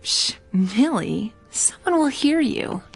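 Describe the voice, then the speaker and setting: A woman's voice: a little high, sing-song, takes it slowly, creaky, slightly rough, faint music behind, heavily acted. An actress playing a courteous, discreet female clinic receptionist in a radio drama, with a polite professional manner. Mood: hushed, discreet.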